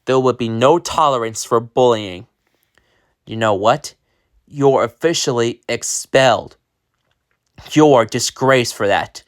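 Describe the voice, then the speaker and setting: Brief: reader playing stern principal man, slightly lowered voice, slow. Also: no echo, clean studio sound, close to an everyday voice. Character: stern disappointed male school principal.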